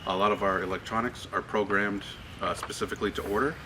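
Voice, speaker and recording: Low-voiced American man guiding a warehouse tour. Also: steady tone, fast. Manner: friendly, explanatory, asks the group questions. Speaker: parts manager giving a tour. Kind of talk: guided tour talk to a group. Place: large warehouse with tall shelving, indoors. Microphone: far camera held by a tour member. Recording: noisy warehouse from a handheld camera.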